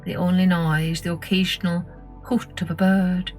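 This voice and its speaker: A woman with a slightly low voice reads a children's story. Her delivery is measured and expressive, in the manner of a storytelling podcast host. Music plays clearly behind her. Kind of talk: scripted children's story narration.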